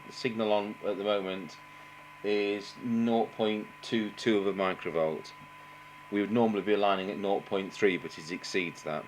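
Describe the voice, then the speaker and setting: Low-voiced Englishman, halting, over humming noise. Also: steady tone, low-quality recording. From England. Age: middle-aged. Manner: halting, thinking aloud. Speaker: radio engineer demonstrating repairs. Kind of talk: unscripted technical demonstration. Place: indoor workbench, voice off camera. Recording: noticeable background noise with hum.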